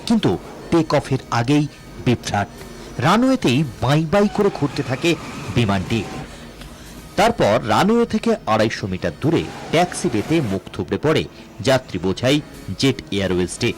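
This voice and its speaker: A man's voice: medium pitch, big pitch swings, normal speed. A man reading a scripted television news report in a dramatic, emphatic way. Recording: voice-over under loud music.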